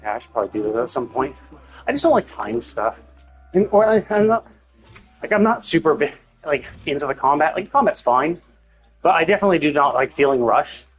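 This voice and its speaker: Male game streamer, slightly high voice, casual and sweary, rambles through his thoughts, music underneath. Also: very animated, a little fast. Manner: rambling, thinking out loud, self-interrupting; casually profane. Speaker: livestreamer. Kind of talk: casual gameplay stream commentary.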